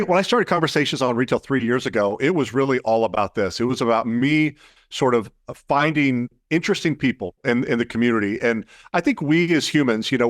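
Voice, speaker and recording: Male interview host, mid-pitched, unhurried, winding questions, dry sound. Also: dry recording. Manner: thoughtful, long winding questions. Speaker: podcast host.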